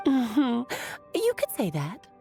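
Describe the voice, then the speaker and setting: A woman's voice: on the high side, huge pitch swings, takes it slowly, dark tone, faint music behind, full character voice. A woman voicing a playful, whimsical sorceress aunt in a video game, with a theatrical, airy and teasing manner. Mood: coy, teasing.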